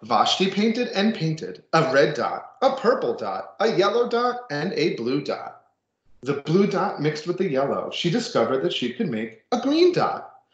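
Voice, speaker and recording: A man's voice: a little high, big pitch swings, unhurried, roomy sound, highs cut off. A man reading a children's picture book aloud with casual asides, expressively and with dramatic emphasis. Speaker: storybook reader.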